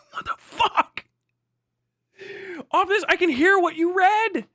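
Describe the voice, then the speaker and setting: A man's voice: very high-pitched, takes it slowly, pushed and bright, no echo, studio quality. A man doing heated live reaction commentary as a stream host, profane, combative and mocking. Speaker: livestream host reacting to a clip.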